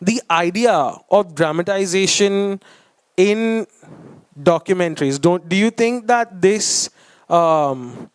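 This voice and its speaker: A man's voice: high voice, smooth, no echo, studio quality. A man interviewing someone in a conversational style, phrasing his questions in a hesitant, roundabout way.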